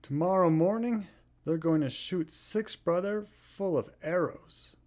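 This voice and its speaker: A man's voice: sits high, unhurried, darkish tone. A man voicing good-natured, emotional brothers from a children's storybook in a theatrical way. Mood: alarmed. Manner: theatrical, swooping.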